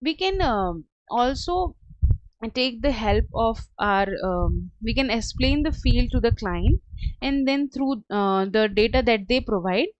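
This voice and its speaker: A woman working as a software trainer, giving a step-by-step screen tutorial in a hesitant, halting way. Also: a little high, expressive, no room sound. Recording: dull lo-fi close dry audio.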